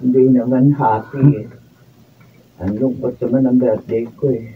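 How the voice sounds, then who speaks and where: A woman's voice: rumbling low, fairly even intonation, normal speed. Bitter, plaintive elderly Filipino mother. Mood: sad, sulky. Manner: plaintive, sulky. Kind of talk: Filipino radio drama acting. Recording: distant, muffled, noisy room recording.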